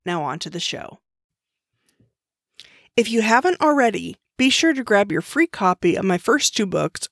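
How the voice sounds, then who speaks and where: Female podcast host for interviews, mid-pitched voice, dry studio-close sound. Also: dry recording.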